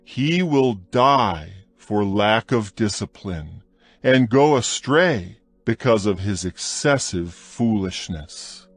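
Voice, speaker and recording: A man gives a slow, solemn reading of scripture, his pitch rising and falling with feeling on each appeal. The voice is close and dry, with soft music playing faintly underneath. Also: breathy edge, dry recording. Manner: slow, measured, solemn recitation with lively rises on appeals. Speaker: Bible audio narrator.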